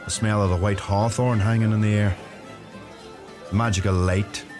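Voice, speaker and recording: Music plays under a low-pitched young Donegal farmer, played by a male actor in a radio drama. He is gentle, modest and reflective, speaking in an unhurried Donegal Irish accent. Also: steady tone, lightly acted. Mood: wistful. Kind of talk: radio play acting.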